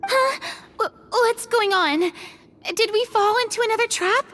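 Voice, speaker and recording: Jittery proper girl adventurer, really high voice, music in back. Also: unhurried, a bit bright. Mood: nervous. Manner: nervous, polite. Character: anxious, proper young woman adventurer. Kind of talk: video game voice acting.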